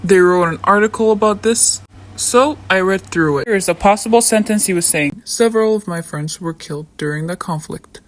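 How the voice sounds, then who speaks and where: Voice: medium pitch, fairly quick, low-quality recording. A narrator, a native Somali speaker, reads a scripted debunking in an indignant tone. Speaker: video essay narrator.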